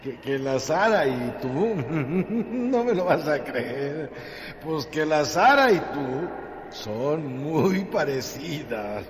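A man's voice: on the high side, sing-song, drawn-out words, in a large hall, a little hiss or hum, full character voice. An actor with a Mexican accent playing a mocking, laughing man in a radio drama. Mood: mocking, amused. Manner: mocking, laughing through his words. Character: mocking, cackling man taunting a bitter woman. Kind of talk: Spanish-language radio drama acting.

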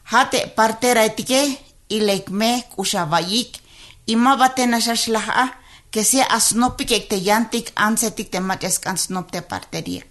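A woman from Tenejapa, Chiapas, a traditional midwife, speaking in an interview in a halting way with fillers and Spanish words mixed in. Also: a little high, a bit bright. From Tenejapa, Chiapas, Mexico. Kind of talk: recorded interview statement.

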